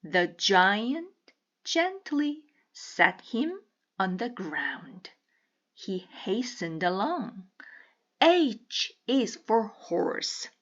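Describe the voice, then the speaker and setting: A woman reading a children's picture book aloud with deliberate, teacherly enunciation. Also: medium pitch, sing-song, fairly bright tone, lo-fi sound. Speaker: storybook reader.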